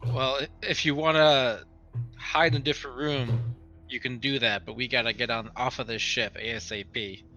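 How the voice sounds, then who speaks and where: A man's voice: mid-range voice, fairly even intonation, moderate pace, sharp tone, faint music behind. A man talking casually as a player in a tabletop roleplaying game, partly speaking for his character. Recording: dull, lo-fi voice pickup.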